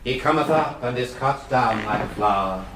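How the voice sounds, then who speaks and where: An English actor playing a solemn country parson in a radio drama, speaking in a measured, declamatory, formal manner. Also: male voice, mid-range voice, a bit bright, natural voice. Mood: solemn, declaiming. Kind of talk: liturgical reading in radio drama.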